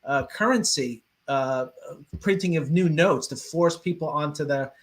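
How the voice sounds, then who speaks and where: A man's voice: on the high side, unhurried. A man in a conversational interview, speaking as the interviewer and thinking aloud as he hesitantly works through his points.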